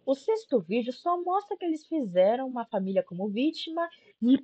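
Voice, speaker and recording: A young Brazilian woman hosts a casual commentary video. Her voice is husky and swings widely in pitch as she talks in an informal, sarcastic way. The recording is a little dull and lo-fi. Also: very animated, a little fast, warm tone. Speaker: YouTube commentary host. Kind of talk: casual video-essay commentary recapping a horror series.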